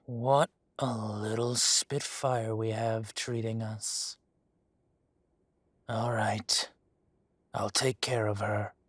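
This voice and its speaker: A smug dragon crime lord, drawls slowly with a husky, breathy voice. He teases and threatens in the same unhurried tone, often sinking into a low whisper. The sound is close and dry. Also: flat delivery, no echo. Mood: teasing. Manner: slow, smug, teasing, drops to whispers. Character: smug dragon mafia boss, teasing and menacing. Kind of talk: audio roleplay acting.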